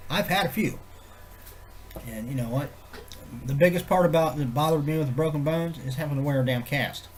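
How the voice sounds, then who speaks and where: A man with a head cold, sounding congested and nasal, chatting to camera in a low-key, meandering way with loose swearing. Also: a little fast, slight background noise. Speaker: vlogger. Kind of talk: rambling casual chat to camera.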